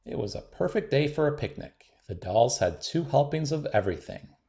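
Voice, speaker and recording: A man reading a children's picture book aloud in a gentle, expressive storytelling manner. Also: mid-range voice, moderate pace, vocal fry. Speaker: storybook reader.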